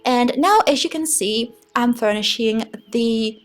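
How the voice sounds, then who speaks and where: A woman's voice: a little high, a little slow. A woman with an Italian accent and Italian as her first language gives a rambling, hesitant voice-over for a game build video, often searching for words. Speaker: Sims speed build creator. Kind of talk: casual voice-over commentary. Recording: voice-over with faint music bed. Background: soft background music.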